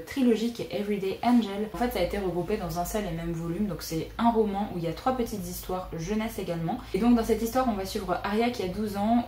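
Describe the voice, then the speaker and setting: A woman's voice: mid-range voice, warm tone. Chatty woman in an echoey room. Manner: chatty, frequent "euh" fillers. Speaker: booktuber. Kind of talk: casual book wishlist vlog. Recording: echoey room with faint noise.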